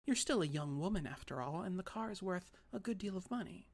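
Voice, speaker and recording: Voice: mid-range voice, expressive, a little fast, voice pushed up. An audiobook reader voicing a prim, disapproving, self-righteous sister with a lifted, precise voice. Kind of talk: audiobook character voice.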